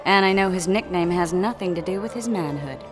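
A woman's voice: fairly low pitch, a little fast, close to an everyday voice. An actress playing a wry, caring female companion in a fantasy video game, with a dry, playful manner. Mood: wry, teasing. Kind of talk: video game character acting. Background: music.

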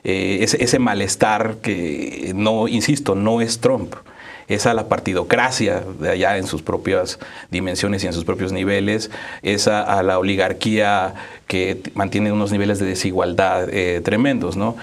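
A Mexican man in his thirties speaks as a guest on a studio panel. His voice is on the low side, and he gives his opinions conversationally, pausing to search for words. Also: steady tone, creaky. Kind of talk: conversational political commentary. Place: small dark quiet studio, seated at table.

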